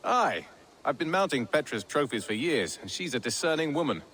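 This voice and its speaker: Animated, proud village tanner. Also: male voice, a little high, moderate pace, slight background noise. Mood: proud, friendly. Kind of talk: video game cutscene acting.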